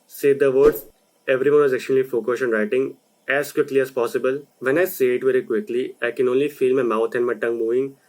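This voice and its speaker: A young man from India who runs a video channel, talking through a voice lesson in a slow, deliberate explainer style. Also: mid-range voice, fairly even intonation, a little mellow, poor audio. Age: young adult. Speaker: video creator. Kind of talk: explainer narration.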